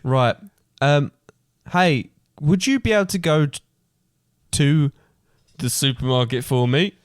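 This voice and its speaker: A man's voice: a little high, measured pace, dry recording, lightly acted. A man playing a clueless, cheerful comic Scrooge, hesitant and flippant, as if improvising a pantomime. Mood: bumbling, eager.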